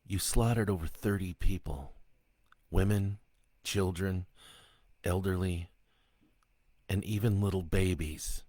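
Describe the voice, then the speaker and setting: A man's voice: low-pitched, slow, pauses often, darkish tone, no room sound. A man voicing a grave, shaken priest. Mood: stern. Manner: grave, stern, restrained.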